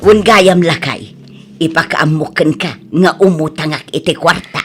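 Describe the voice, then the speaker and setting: A woman's voice: low voice, a little slow, faint music behind. A woman with an Ilocano accent playing a snide, envious, stubborn village housewife in a comedy radio drama, scoffing and insistent.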